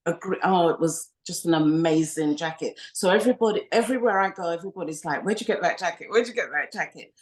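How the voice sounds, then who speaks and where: Guest, woman from Ghana, lowish voice, relaxed and friendly, lifts when storytelling. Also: quick pace, hardly any pauses. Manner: relaxed, friendly, animated when telling stories. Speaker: guest, makeup designer. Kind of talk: casual interview chat.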